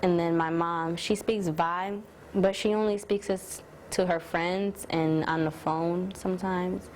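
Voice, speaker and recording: A teenage girl answering documentary interview questions, soft-spoken and hesitant, with frequent fillers. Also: lowish voice, fairly even intonation, a little slow, slight background noise. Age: teens. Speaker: student interviewee.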